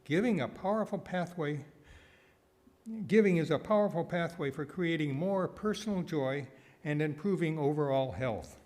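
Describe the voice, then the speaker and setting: A man delivering a prepared sermon with wry asides, phrasing deliberately and repeating words for emphasis. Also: a little high, a little mellow. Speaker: guest speaker giving a sermon, nonprofit founder.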